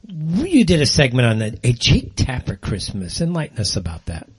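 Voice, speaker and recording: A man's voice: mid-range voice, wildly swooping pitch, moderate pace, husky. An American man hosting a political talk show, putting questions and comments to his guest in a conversational style. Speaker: talk show host, interviewer.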